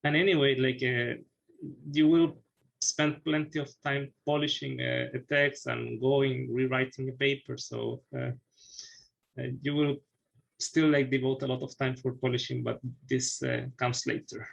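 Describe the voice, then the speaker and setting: A man's voice: mid-range voice, level tone, measured pace, creaky, highs cut off. A man in his thirties from Chile, a native Spanish speaker with a Chilean accent, giving an online academic writing workshop over a video call with many fillers and restarts. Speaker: workshop presenter. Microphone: video call webcam.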